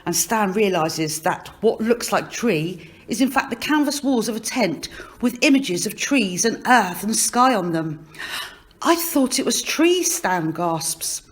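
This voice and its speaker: A woman reading a children's story aloud as expressive narration, in an animated storytelling manner. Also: mid-range voice, measured pace, hardly any pauses.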